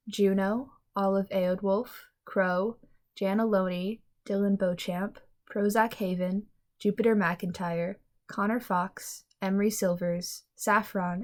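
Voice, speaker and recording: Mid-pitched woman reading podcast credits. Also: a little mellow. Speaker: podcast announcer.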